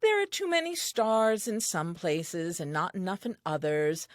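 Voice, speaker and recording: A woman's voice: on the low side, big pitch swings, measured pace, no echo, clean studio sound. An actress playing a curious, earnest, newly created first woman, reading her diary aloud with naive, precise self-assurance in an audio play. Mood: earnest, appraising.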